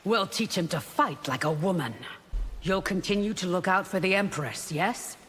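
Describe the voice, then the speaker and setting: An actress playing a devout, defiant warrior bodyguard in a video game, blunt and guarded. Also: female voice, on the low side, expressive, moderate pace, a bit bright. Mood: guarded, probing. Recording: long hall reverb, faint noise.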